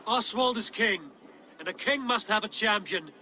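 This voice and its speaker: A man playing a steadfast, blunt Viking warrior in a video game, speaking in solemn, measured, formal phrases. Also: sits high, sharp tone, slight background noise. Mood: resolute, proud. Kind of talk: dramatic video game acting.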